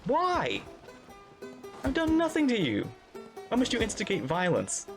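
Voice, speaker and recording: A man's voice: very high-pitched, huge pitch swings, unhurried, vocal fry. A man doing casual gameplay commentary as a streamer, exclamatory and musing aloud, with game music behind him. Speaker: streamer reacting to a video game.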